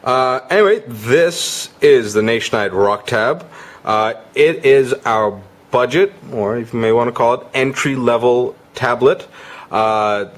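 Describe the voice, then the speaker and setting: A man giving a casual, conversational product demo as a gadget reviewer. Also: mid-range voice.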